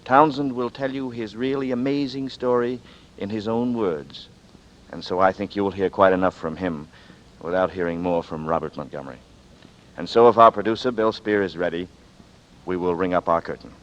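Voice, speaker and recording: A man hosting a radio drama, giving a measured, conversational scripted introduction. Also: deep voice. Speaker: host and star. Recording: dull vintage radio recording.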